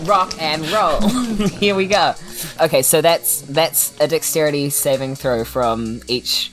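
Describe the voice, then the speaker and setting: A woman's voice: low-pitched, slow, over music. A woman with a New Zealand accent chatting casually as a player in a tabletop roleplaying game. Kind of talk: casual chat during a game.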